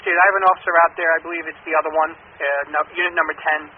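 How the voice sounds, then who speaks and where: A man's voice: a little high, little pitch movement, quick pace, recorded from a distance. A man working as a fire dispatcher, reading out procedural calls over a two-way radio. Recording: tinny radio channel with faint noise.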